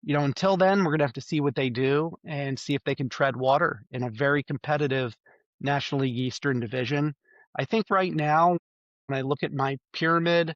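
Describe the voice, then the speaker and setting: A man's voice: on the high side, fairly bright tone, dry recording, dull audio. A man with a cold and a stuffy nose, hosting a solo sports preview podcast and reeling off stats with frequent ums and uhs. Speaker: podcast host.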